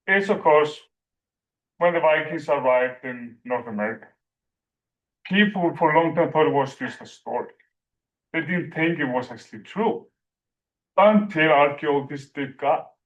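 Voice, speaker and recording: A man from Iceland with an Icelandic accent giving an academic presentation, speaking haltingly with many fillers like "like" and "you know". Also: a little high, muffled recording.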